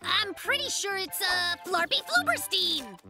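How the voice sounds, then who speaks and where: A playful little cartoon bear cub who schemes and brags. The voice is very high-pitched and animated, with glib storytelling and clear music behind it. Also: female voice, unhurried, full character voice. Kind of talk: children's cartoon voice acting.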